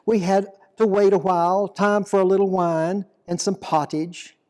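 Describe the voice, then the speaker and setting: A man reading a dramatic monologue poem as an aging, plain-spoken fisherman apostle, deliberate, reflective and full of guilt and devotion. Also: high voice, flat delivery, darkish tone, close to an everyday voice. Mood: fond, reminiscing. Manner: slow, deliberate, reflective storytelling.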